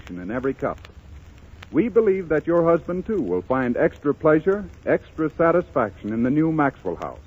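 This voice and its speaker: A man's voice: on the high side, very animated, moderate pace, slightly rough. A man reading a scripted 1930s radio commercial in an emphatic, salesmanlike way. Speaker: commercial announcer. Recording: muffled, faintly noisy 1930s radio recording.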